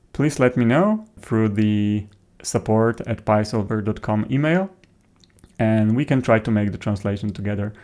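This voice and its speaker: Male software presenter, native Polish speaker with Polish accent, lowish voice, halting informal demo delivery. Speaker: software developer presenting a tutorial.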